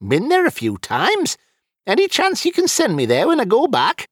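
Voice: medium pitch, huge pitch swings, moderate pace, breathy edge, dry recording, clean studio sound, voice pushed up. A narrator voicing an elderly male wizard, sheepish and quibbling, with folksy dialect phrasing, in a fantasy audiobook. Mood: earnest.

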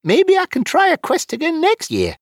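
Elderly male wizard as acted by a narrator, folksy, sheepish, quibbling, high pitch, swooping delivery, fantasy storybook. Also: huge pitch swings, normal speed, husky, no room sound, studio quality, exaggerated voice. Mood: glum, hopeful. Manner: folksy dialect phrasing.

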